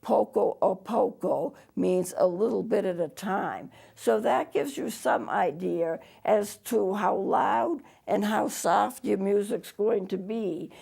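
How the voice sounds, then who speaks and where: A woman's voice: mid-range voice, slow, creaky, few pauses, warm tone, husky. A woman teaching a televised music lesson, explaining terms patiently and spelling them out letter by letter, her voice throaty as she is losing it. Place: small TV studio.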